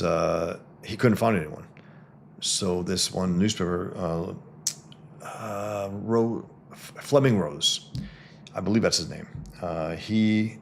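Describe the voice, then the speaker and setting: A cartoonist from the Bronx, talking casually to followers on a livestream, with many fillers and restarts. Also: male voice, low-pitched, gravelly, slight background noise. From the Bronx, New York. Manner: greets viewers mid-talk.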